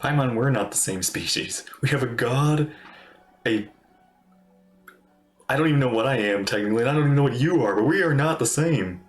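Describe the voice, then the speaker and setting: Female reaction streamer: extremely low pitch, lively intonation, informal off-the-cuff musing. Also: a little fast, pauses often, faint music behind. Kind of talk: casual livestream commentary.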